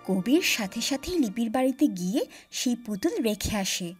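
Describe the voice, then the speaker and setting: A woman's voice: on the high side, big pitch swings, normal speed, faint music behind. A woman telling a scripted children's story as its narrator.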